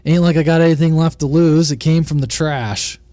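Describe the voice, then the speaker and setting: A man's voice: a little high, fairly even intonation, brisk, mellow, no echo, natural voice. Man playing a laid-back CB radio tinkerer, dry sound. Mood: resigned, casual. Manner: casual, folksy, unbothered.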